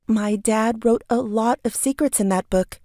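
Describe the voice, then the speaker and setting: A woman's voice: fairly high pitch, measured pace, warm tone, no room sound, clean studio sound, close to an everyday voice. A woman acting a guarded, irritable magician who gives evasive, clipped answers in an audio drama. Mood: defensive.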